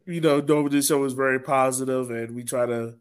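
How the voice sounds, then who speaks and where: A man's voice: mid-range voice, fairly even intonation, fast, clear, resonant tone. A man hosting a sports podcast, chatting in an informal, colloquial way. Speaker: podcast host. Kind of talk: casual sports podcast chat.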